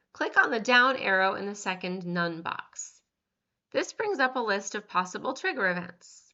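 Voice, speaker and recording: Bright-voiced woman narrating a tutorial. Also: medium pitch, dull audio. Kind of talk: scripted instructional narration.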